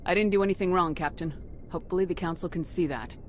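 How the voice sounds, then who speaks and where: Casting: tough female starship officer for a game, woman actor, slightly low pitch, clipped and composed. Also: quick pace, a little hiss or hum, close to an everyday voice. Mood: firm, sober. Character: tough, dutiful female military commander. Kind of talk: cinematic video game acting.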